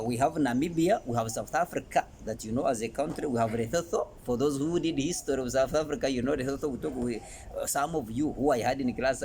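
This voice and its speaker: Ugandan male educational presenter, emphatic and halting delivery, mid-pitched voice, some background noise. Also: darkish tone. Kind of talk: informal educational presentation to camera.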